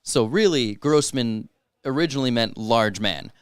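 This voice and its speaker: A man, co-hosting a casual podcast in a steady, smooth radio-style voice. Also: medium pitch, normal speed, no echo, studio quality. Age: 30s. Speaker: podcast co-host. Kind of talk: casual chat.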